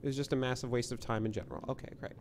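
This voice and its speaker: A man's voice: medium pitch, steady tone, rapid-fire, background noise. A man co-hosting a game stream, chatting casually with wry, sarcastic complaints. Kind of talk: casual gaming chat.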